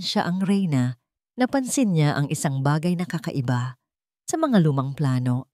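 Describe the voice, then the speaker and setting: A woman's voice: sits low, a little mellow, no room sound, clean studio sound. A woman with a Filipino accent reading a scripted dramatic story as its narrator.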